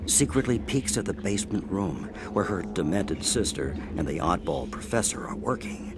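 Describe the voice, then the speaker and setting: A man narrating an audio comedy from a script, with deliberate, theatrical storytelling phrasing. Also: lowish voice, background noise.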